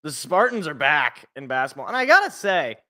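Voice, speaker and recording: Bright, slightly raspy American man. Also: a little high, sing-song, a little fast. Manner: rambling, jokey, repeats phrases for emphasis. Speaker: sports show host. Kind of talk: casual solo sports talk. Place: indoors at home.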